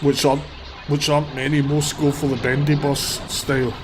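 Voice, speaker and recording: A man's voice: a little high, little pitch movement, moderate pace. A man with a cold, sniffling a little, giving blunt, rambling live commentary while out on a city street on a handheld phone. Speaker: livestreamer. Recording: loud street traffic behind. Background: traffic and vehicles.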